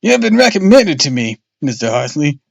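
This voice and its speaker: A man reading as a thin, secretive colonel from a detective storybook. He is brisk, suspicious and presses his point insistently. The voice sits rather high and sounds close and dry. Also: big pitch swings, vocal fry, dry recording, full character voice. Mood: probing.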